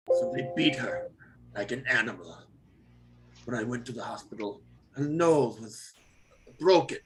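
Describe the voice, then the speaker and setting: An actor playing an aggrieved Italian immigrant father in a screenplay table read, halting and emotional as he pleads. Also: medium pitch, a bit bright, poor audio.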